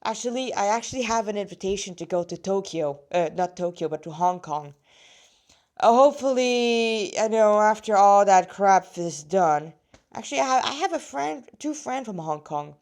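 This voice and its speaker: Woman reading her fanfic out loud, kinda rusty voice, medium pitch, chatty, keeps wandering off into side comments. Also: lively intonation, normal speed. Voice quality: slightly rusty voice. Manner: rambling, digressive, often stopping mid-sentence to comment. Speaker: fan fiction author reading her story aloud. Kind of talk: casual reading aloud with chatty asides.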